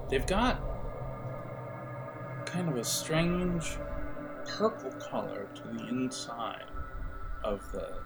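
A man acts as game master for an audio role-playing game, describing scenes in a loose, halting, conversational way. His voice sits at an average pitch, and music plays clearly behind him. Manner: halting, searching for words, conversational.

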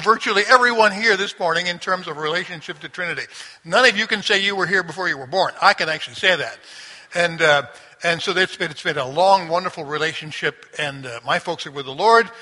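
A man in his sixties speaks to a church congregation with a very bright, forceful voice that rings through a long hall reverb. He sits a little high in pitch and talks conversationally, like a visiting missionary telling his story. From grew up in Sri Lanka. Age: 60s. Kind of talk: informal church address to a congregation. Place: large church room, speaking through a microphone.

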